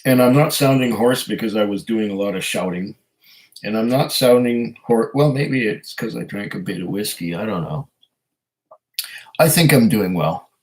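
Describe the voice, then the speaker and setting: Man, hoarse, getting over a cold, easygoing live-stream chat, rambling, lots of ums. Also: lowish voice, normal speed, a little mellow, low-quality recording. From Canada. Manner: rambling, hesitant, chuckles often. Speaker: whiskey channel host reading live chat.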